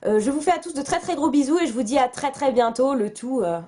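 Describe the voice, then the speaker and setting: A young woman from France talks casually to her camera as a book vlogger. Her voice sits fairly high, and her chatty, self-mocking delivery is full of fillers and restarts. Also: animated, quick pace, mellow.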